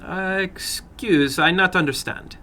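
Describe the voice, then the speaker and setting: A man voicing an eager, animated little foreign gambler in halting, broken English for a dramatic horror story reading. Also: high voice, voice pushed up, heavily acted. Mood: puzzled, goading.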